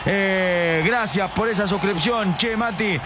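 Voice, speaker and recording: A man with an Argentine accent doing rapid play-by-play football commentary for an online stream and reading out viewer messages. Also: high-pitched, crowd noise. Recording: clear background noise.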